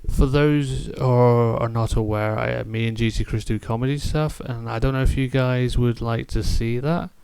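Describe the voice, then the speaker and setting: Rambling man in his late twenties, gravelly voice. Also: medium pitch, fairly even intonation, moderate pace, few pauses, a little mellow, no echo. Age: late 20s. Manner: rambling, hesitant, jokey. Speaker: gaming channel host. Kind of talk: casual live-stream chat.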